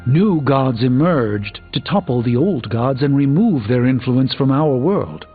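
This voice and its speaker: An actor playing a learned sorcerer mentor in a video game, speaking in a grave, measured, formal way. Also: male voice, medium pitch, expressive, husky. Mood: grave, explanatory. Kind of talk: video game character dialogue. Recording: dull band-limited game audio, music behind.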